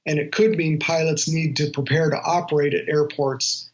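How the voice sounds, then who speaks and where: A man, an aviation journalist, giving measured explanatory answers in an interview, on a slightly lo-fi recording. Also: fairly high pitch, fairly even intonation.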